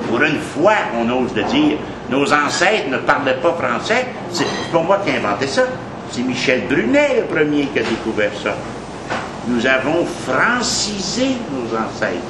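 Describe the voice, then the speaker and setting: A man's voice: mid-range voice, sing-song, measured pace. A man with a Quebec French accent giving an informal history talk in a café, emphatic and conversational, repeating points for weight. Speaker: history lecturer. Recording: echoey café, noisy background.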